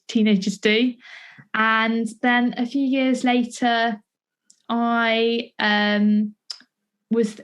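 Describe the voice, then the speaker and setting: A woman in her twenties with a southern English accent, chatting casually as an interviewer, feeling her way through her sentences. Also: a little high. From Essex, England. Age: 20s. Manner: hesitant, searching phrasing with many hedges. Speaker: podcast interviewer and playwright.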